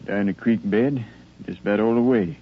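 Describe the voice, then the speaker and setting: A man's voice: on the low side, normal speed, close to an everyday voice. A male actor playing a plainspoken, humble soldier full of doubts, with folksy, hesitant phrasing, in 1950s radio drama style. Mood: quietly resolute. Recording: narrow, hissy old radio recording with hum.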